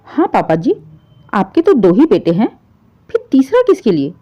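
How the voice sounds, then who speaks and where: A woman's voice: a little high, wildly swooping pitch, normal speed. Woman acting a greedy, indignant daughter-in-law, muffled. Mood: shocked, indignant. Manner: argumentative, pointed questioning. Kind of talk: dramatised Hindi moral story. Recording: dull, muffled lo-fi audio.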